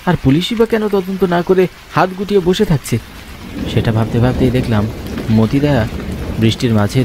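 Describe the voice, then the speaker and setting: A man's voice: fairly high pitch, a little mellow, low-quality recording. A man narrating a scripted horror story in the first person, with dramatic, suspenseful delivery. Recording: constant rain and thunder noise behind. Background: rain and thunder sound effects.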